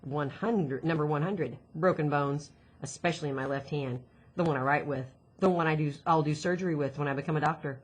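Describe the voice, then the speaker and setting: A woman's voice: deep voice, brisk, slightly rough. A woman reading as an anxious, guarded bookish girl. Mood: anxious, brooding.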